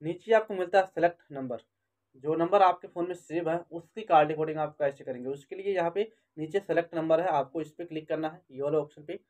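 A man's voice: fairly high pitch, fast, darkish tone. A man narrating a step-by-step phone tutorial, repeating instructions and addressing viewers as friends. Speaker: tech tutorial YouTuber and host.

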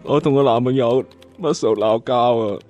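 A man's voice: medium pitch, normal speed, over music, lightly acted. A man playing a crying, heartbroken, melodramatic young lover in a comic radio drama. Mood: sobbing, heartbroken.

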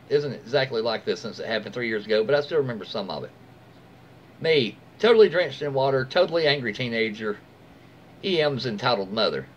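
American man reading aloud, dull lo-fi sound. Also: medium pitch. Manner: stumbles over words and corrects himself. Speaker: storyteller reading online posts aloud. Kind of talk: reading a story aloud, informal. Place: small room at home, indoors. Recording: dull lo-fi mic, faint background noise.

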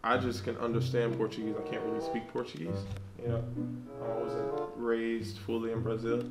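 A man's voice: mid-range voice, monotone, moderate pace, over loud music. Echoey young man, casual banter. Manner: joking, defensive. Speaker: contestant on a blind date.

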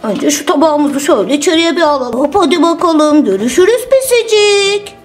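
A woman voicing a kindly, patient male bear, gentle and reassuring, in a children's toy story. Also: high voice, expressive, normal speed, soft background music, pitched below the performer's own voice. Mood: pleased, gentle.

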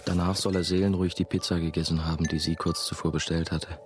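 An actor playing a brooding, haunted former novice, confiding his story in measured, terse radio drama narration. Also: deep voice, level tone, over music, natural voice. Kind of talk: first-person radio drama monologue.

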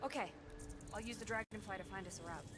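An actress playing an earnest, hopeful young woman, a tech-savvy survivor in a video game, with a soft voice. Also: mid-range voice, quick pace, noisy background, lightly acted. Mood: practical. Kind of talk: video game cutscene acting. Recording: music behind the voice. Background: music.

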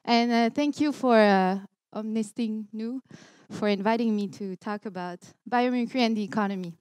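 A woman's voice: on the high side, normal speed, a little mellow, clean tone, no echo. Quebec-accented woman with a headset mic. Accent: Quebec French. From Montreal, Canada. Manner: many fillers and restarts, friendly and earnest. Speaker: keynote speaker. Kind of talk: conference talk. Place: large lecture hall.

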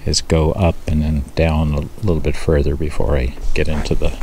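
A man's voice: very deep voice, level tone, normal speed. A man talking casually to his action camera while hiking along an old rail bed, chatty and easily amazed, with lots of fillers. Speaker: hiking vlogger. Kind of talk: casual walking commentary. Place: outdoors in a wooded gully by an old rail bed. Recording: noisy outdoor camera audio.

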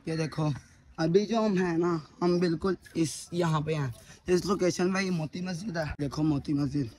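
A man's voice: fairly high pitch, moderate pace, darkish tone, a little hiss or hum. Young man vlogging outdoors by phone. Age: young adult. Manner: chatty, talks to viewers while walking. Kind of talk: casual vlog narration. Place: outdoors at a historic fort. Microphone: phone held at arm's length.

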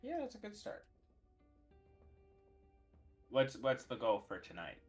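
A hoarse man giving short, quiet replies while gaming with friends. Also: medium pitch, huge pitch swings, slow pace, faint music behind. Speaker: teammate. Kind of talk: casual gaming chat.

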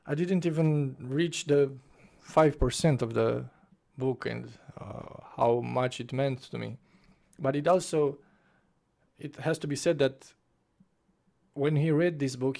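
Young man, unhurried, dark warm tone, home bedroom desk-mic book vlog. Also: mid-range voice, vocal fry. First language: Romanian. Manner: passionate, gestures with both hands, restarts phrases. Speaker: book reviewer. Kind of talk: casual spoken book review. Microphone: desk microphone in front of face, webcam framing.

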